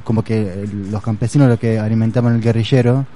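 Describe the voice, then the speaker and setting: Soft, dark-voiced man talking slowly. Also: lowish voice, fairly even intonation, a little hiss or hum. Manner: hesitant, searching for words, many fillers. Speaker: guest. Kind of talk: casual radio conversation.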